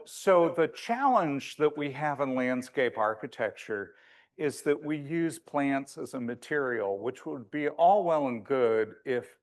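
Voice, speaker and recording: A man with a big voice giving an informal, wry slide lecture as a landscape architect, often pausing to find his words. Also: mid-range voice.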